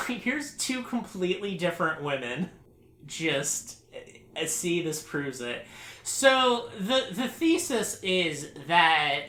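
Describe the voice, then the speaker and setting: Bright-voiced sarcastic man, echoey room. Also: fairly high pitch. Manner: rambling, sarcastic, laughs often. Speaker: podcast host. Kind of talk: casual group podcast chat. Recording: echoey room, faint noise.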